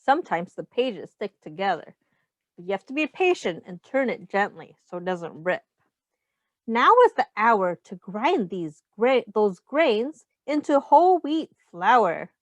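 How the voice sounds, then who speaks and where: A woman's voice: mid-range voice, big pitch swings, measured pace, no echo. A woman teacher reading a picture book aloud to young children, explaining simple words and asking them questions as she goes. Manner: instructive, pointing out rhymes and addressing young children directly.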